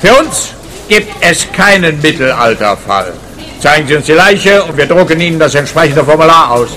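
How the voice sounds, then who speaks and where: An actor playing a brusque, bureaucratic police detective with an officious, dry manner in a comic radio play. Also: male voice, a little high, animated, moderate pace, over music, lightly acted. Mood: dismissive, officious.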